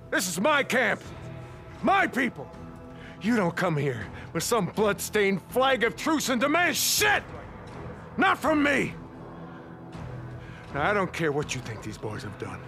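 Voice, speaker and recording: An actor playing a defiant, gruff leader of a survivors' camp in a video game, blunt and forceful with a gravelly voice. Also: male voice, high voice, huge pitch swings, a little slow, pauses often, faint music behind, exaggerated voice. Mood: outraged. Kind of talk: dramatic video game cutscene acting.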